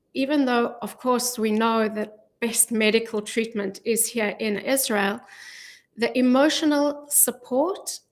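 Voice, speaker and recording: A middle-aged woman speaking as a guest in a radio studio interview, earnest and measured, with frequent ums, on a studio microphone. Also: a little high. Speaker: guest, charity volunteer. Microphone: studio mic with headphones.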